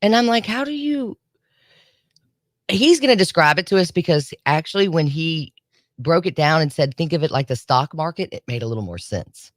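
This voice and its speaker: Lively female radio co-host, dry sound. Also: low voice, no echo. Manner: lively, teasing, quick to laugh. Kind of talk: casual morning radio banter. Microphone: studio microphone.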